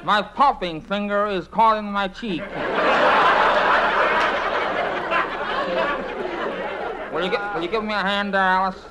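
A man acting as a folksy, easily flustered bandleader in a vintage comedy parody. His voice sits very high for a man and he delivers halting show patter. The old recording is very noisy, with a loud laughing crowd behind him. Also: fairly even intonation, natural voice. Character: flustered, folksy male bandleader hosting a champagne-music show. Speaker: bandleader and host in a parody sketch.

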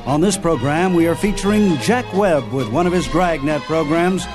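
Higher-pitched male radio host over music. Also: moderate pace. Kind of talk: scripted broadcast introduction. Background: loud music.

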